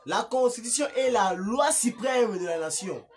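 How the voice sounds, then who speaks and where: Male political commentator, Congolese accent, high register, emphatic and repetitive, unscripted with halting restarts. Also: animated, a little hiss or hum. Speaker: political party activist and commentator.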